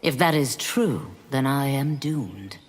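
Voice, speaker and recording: A woman's voice: a bass voice, expressive, slow, heavily acted. An actress playing a righteous demon woman in a fighting game, solemn, stern and resolute. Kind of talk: fighting game character dialogue. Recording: reverberant, loud game noise behind.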